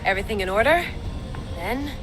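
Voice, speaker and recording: A woman's voice: mid-range voice, expressive, fairly quick, close to an everyday voice. A woman playing a curious, determined archaeologist exploring ruins in a video game, in a focused, natural way. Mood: focused, awed. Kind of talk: video game cutscene acting. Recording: music behind the voice.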